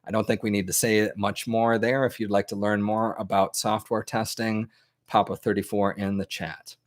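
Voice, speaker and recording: A man's voice: fairly low pitch, little pitch movement, moderate pace. An American man, a native English speaker, hosting an informal advice talk to a live chat, with plenty of ums and restarts. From United States. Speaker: host.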